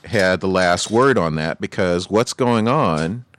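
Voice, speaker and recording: A man's voice: low voice, expressive, takes it slowly, fairly bright tone. A man hosting a radio music show, chatting casually with his co-host and laughing often.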